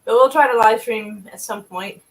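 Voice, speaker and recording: A woman's voice: fairly high pitch, moderate pace, rough recording. A woman hosting a casual livestream, laughing and chatting with guests and viewers. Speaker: stream host.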